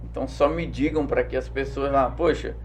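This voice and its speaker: Relaxed Brazilian man interviewing, halting. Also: mid-range voice, soft background music. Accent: Brazilian Portuguese. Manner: relaxed, halting, many restarts and fillers. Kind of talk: casual interview.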